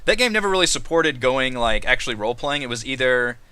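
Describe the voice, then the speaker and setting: A man's voice: mid-range voice, lively intonation, fast, pushed and bright, smooth. A man hosting a casual roundtable discussion, explaining things in an informal way with frequent asides. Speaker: host of a tabletop RPG discussion.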